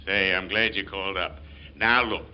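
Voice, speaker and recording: A man's voice: low voice, steady tone, moderate pace, clear, resonant tone, close to an everyday voice. A man acting a blustery, exasperated, wisecracking older father in a 1940s radio comedy. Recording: dull 1940s radio broadcast.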